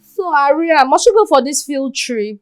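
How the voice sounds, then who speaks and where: Cheeky friend, high and bouncy, pushy. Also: female voice, lively intonation, normal speed, soft background music. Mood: teasing. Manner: teasing, blunt, argumentative. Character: teasing, blunt female friend.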